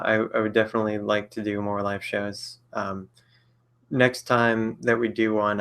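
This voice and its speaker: A man's voice: lowish voice, creaky, a bit bright. A man in his late twenties answering viewer questions on a casual live stream, rambling and hesitant with many fillers and frequent chuckles. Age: 20s. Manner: rambling, hesitant, lots of fillers and self-corrections; chuckles often between thoughts.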